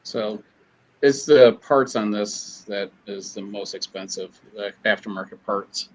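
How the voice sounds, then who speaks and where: Low-voiced easygoing man chatting. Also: darkish tone. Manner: easygoing, halting with fillers. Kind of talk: casual chat.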